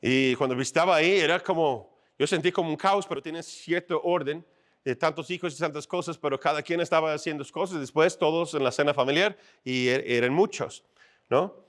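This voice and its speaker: Animated pastor, a man with a fairly high voice. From outside Mexico. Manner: conversational, animated, acts out little scenes and asks "¿no?". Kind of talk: sermon. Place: church stage.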